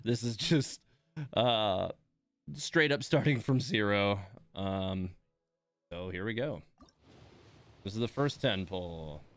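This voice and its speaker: A man's voice: lowish voice, animated, slow, pauses often, a bit bright, no room sound. A man hosting a game livestream, chatting casually with wry, mildly grumbling informality. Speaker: game streamer, host. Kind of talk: casual livestream commentary while playing.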